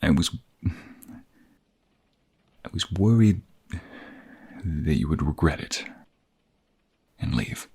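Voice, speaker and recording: A man playing a tender, protective young mobster in an intimate ASMR romance roleplay, speaking softly and haltingly in a husky, gravelly, breathy voice. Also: very deep voice, exaggerated voice. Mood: worried, relieved. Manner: soft, halting, gentle, intimate.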